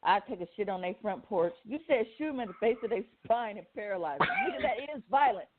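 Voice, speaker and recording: A man's voice: high-pitched, big pitch swings, fast talker. A man with an American accent, bantering as a radio co-host in slangy, argumentative, swearing talk. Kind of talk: casual banter. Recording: narrow phone-like line.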